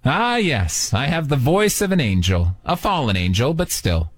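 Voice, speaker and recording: Man, radio host, wisecracking, self-mocking, lively pitch. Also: normal speed. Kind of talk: joking call-in radio banter.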